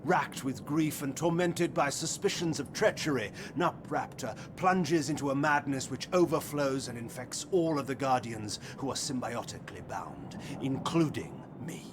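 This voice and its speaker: A man playing an imperious, cynical vampire lord in a video game, delivering measured, archaic, theatrical speeches. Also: medium pitch, animated, background noise, natural voice. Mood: grave, portentous. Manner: grandiloquent, measured, archaic rhetoric. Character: imperious male vampire lord, cynical, cunning, grandly fatalistic. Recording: music behind the voice.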